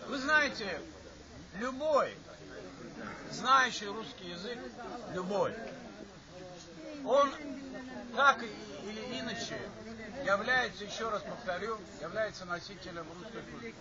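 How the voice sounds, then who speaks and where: An older man from Azerbaijan addresses his former classmates in an assembly hall, speaking in a higher voice. He wanders, restarts and repeats phrases as he goes. The recording is muffled and lo-fi, and the audience murmurs throughout. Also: measured pace, long pauses. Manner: rambling, repeats himself, stops to restart. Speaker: alumni speaker. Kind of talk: informal speech at a reunion. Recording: dull lo-fi recording with chatter from the audience.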